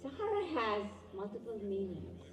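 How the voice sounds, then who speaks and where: A woman whose native language is Urdu, speaking as an educator and emcee at a community event, giving a measured, formal welcoming speech to an audience. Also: medium pitch, big pitch swings, crowd noise. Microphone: distant room mic. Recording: echoey hall, voice far from mic.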